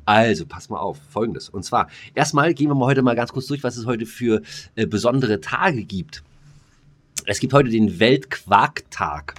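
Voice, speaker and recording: A man's voice: mid-range voice, lively intonation, normal speed. A man co-hosting a podcast in casual comedic banter, rambling and throwing in jokey asides. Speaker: podcast co-host.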